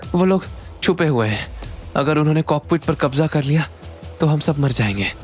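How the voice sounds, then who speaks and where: A cornered villain as a male narrator acts him in an audio drama, a cruel robber with a raised, urgent pitch. He sounds desperate and panicky over background music. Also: expressive, moderate pace, natural voice. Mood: panicked, pleading.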